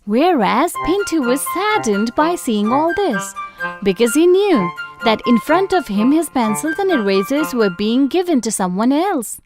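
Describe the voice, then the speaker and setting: A woman's voice: high-pitched, big pitch swings, unhurried. A woman narrating a scripted children's story as storyteller. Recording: music behind.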